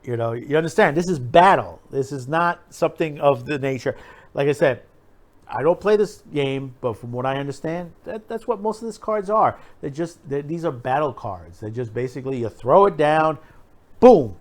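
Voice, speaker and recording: A man's voice: on the high side, lively intonation, moderate pace. A man hosting an opinion video, talking casually and emphatically to viewers in a rambling, repetitive way. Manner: rambling, repeats himself, emphatic. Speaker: host of an opinion video channel.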